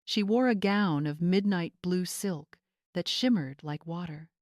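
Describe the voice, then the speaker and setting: A woman narrator reading a scripted dramatic story in a measured, suspenseful manner. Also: lowish voice, a little mellow, no room sound, studio quality.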